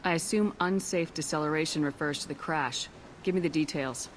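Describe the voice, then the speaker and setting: An actress playing a no-nonsense military commander in a video game, questioning briskly and with authority. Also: female voice, deep voice, a little hiss or hum, lightly acted. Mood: businesslike.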